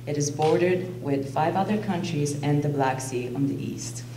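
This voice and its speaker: A woman's voice: sits low, moderate pace, dark tone. A young woman with a Bulgarian accent, reading a prepared student speech at a podium in an auditorium. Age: young adult. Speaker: student speaker. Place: indoor auditorium stage. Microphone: podium microphone. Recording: roomy hall, distant podium mic, faint hum.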